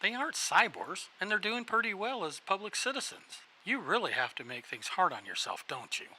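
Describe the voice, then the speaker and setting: Insistent boyfriend , played by a man, high, lively and sharp, music faint behind. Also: fairly quick, full character voice, poor audio. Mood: pushy. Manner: pressing, insistent.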